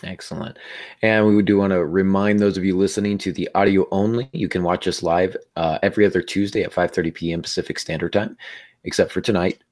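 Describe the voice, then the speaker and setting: A man hosting a writing podcast in a casual, halting way with many fillers, his voice worn down by laryngitis. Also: sits low, fairly even intonation, a little mellow. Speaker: podcast host. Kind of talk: casual podcast chat and teaching.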